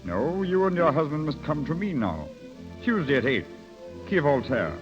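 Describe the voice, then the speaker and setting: An actor playing a suavely polished cosmopolitan banker, courtly and seductive, in 1930s radio drama style. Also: male voice, fairly high pitch, sing-song, moderate pace. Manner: smooth-mannered, formal, courtly. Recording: dull 1930s radio recording with music behind.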